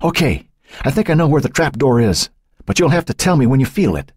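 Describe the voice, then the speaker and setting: A man's voice: mid-range voice, very animated, brisk, darkish tone, breathy edge, dry recording, studio quality, pitched above the performer's own voice. A man voicing a determined young boy who coaxes and insists, guiding a scared friend in a storybook reading. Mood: urging. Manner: urging, insistent.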